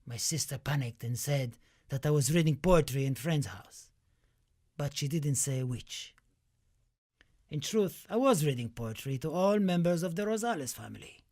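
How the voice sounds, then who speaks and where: A man's voice: fairly high pitch, animated, normal speed. A man playing an impassioned, grieving Spanish poet in a radio play, reciting in a solemn, dramatic manner. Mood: grave, troubled. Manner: solemn, dramatic, swelling from hushed to declaimed. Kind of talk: theatrical radio play monologue and poetry recital.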